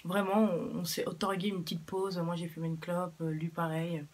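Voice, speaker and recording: A woman's voice: lowish voice, level tone, brisk, a little mellow. A young woman talking casually to camera in a vlog. She speaks frankly, with plenty of fillers and the odd swear word. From Cuba. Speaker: vlogger.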